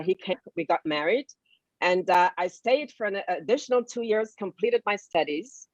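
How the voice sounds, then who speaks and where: A woman panelist telling her personal story, hesitant and full of pauses. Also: lowish voice, dry recording. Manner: hesitant, many fillers. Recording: dull lo-fi audio.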